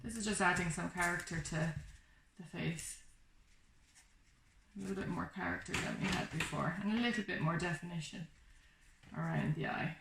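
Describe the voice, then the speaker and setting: A woman's voice: lowish voice, moderate pace, gravelly, long pauses. Echoey woman teaching a craft. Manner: informal, reassuring step-by-step explaining. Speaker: craft workshop instructor. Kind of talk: casual hands-on craft tutorial. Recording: echoey room with background noise, clicks.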